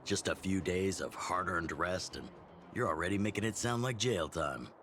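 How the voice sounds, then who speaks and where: A man voicing a genial middle-aged town chief and mentor in a game, relaxed and teasing in a fatherly way. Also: sits low, normal speed, slight background noise. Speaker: community chief.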